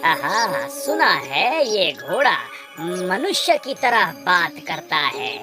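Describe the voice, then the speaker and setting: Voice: medium pitch, very animated, slow pace. A performer voicing a sly, scheming royal minister in a cartoon, muttering and calculating. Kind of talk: exaggerated Hindi cartoon story acting. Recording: music behind the voice.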